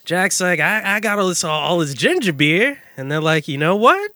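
A man telling a comedic story casually among friends, in an animated, slangy, giggly way. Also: high-pitched, normal speed, no echo, studio quality. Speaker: podcast host telling a story.

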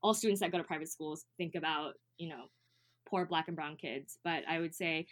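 A young American woman, a guest on an interview show, answering in a hesitant, filler-heavy way. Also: fairly low pitch. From United States. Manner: hesitant, many ums and restarts. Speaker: guest, student advocate.

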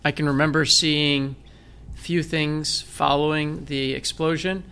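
A man's voice: on the high side, little pitch movement, unhurried, sharp tone, smooth. A man with a Northern Michigan accent, telling his story in a conversational interview with self-deprecating jokes. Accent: Northern Michigan American. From Michigan, United States. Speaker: guest, blind military veteran.

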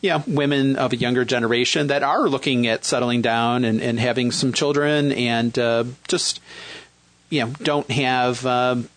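A man in his fifties with a Midwestern accent talks about himself in a relaxed, halting way, often pausing on "uh" and "you know". His voice sits at an ordinary middle pitch. The sound is dry and close. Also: no room sound. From Michigan, raised in Western New York. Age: 50s. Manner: hesitant, many fillers and restarts. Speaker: guest, single dad looking for a partner. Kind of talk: casual chat.

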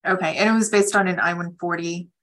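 A woman speaking as an attorney, answering callers' questions in a measured, matter-of-fact, explanatory way. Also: lowish voice, steady tone, fairly bright tone. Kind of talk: advisory question-and-answer on a conference call.

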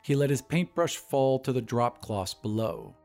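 A man narrates as the host of an audio story show. His delivery is scripted and dramatic, with a medium-pitched voice that moves expressively. Music plays underneath. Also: lively intonation, measured pace, a little mellow.

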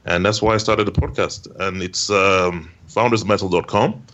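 A man's voice: low-pitched, steady tone, moderate pace, fairly bright tone, lo-fi sound. A man answering interview questions as a podcast guest, hesitant, with many ums and restarts.

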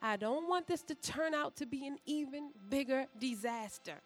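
An actress playing a young adult pastor's daughter in an audio drama, pleading and anxious, with a hesitant, melodramatic delivery. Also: female voice, high voice, moderate pace, soft background music, lightly acted. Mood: nervous.

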